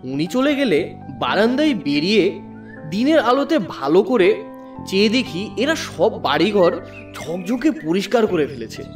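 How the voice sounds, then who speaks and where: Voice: mid-range voice, big pitch swings, unhurried, far from the mic. A narrator telling a first-person story in a dramatic, scripted audiobook style. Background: background music.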